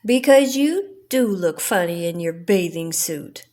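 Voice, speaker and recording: A woman's voice: on the low side, animated, measured pace, a little mellow. A woman voicing an eager, kindly male frog in a children's storybook, earnest and coaxing. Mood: amused. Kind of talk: children's storybook read-aloud.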